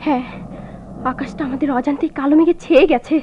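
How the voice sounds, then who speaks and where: A woman's voice: sits high, steady tone, fast talker, dark tone, a little hiss or hum, lightly acted. An actress playing an anguished, restless woman in a radio drama, with an intense theatrical delivery. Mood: anguished, defiant. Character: anguished, restless woman torn between past and present. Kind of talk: melodramatic radio drama acting. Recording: muffled old radio play recording.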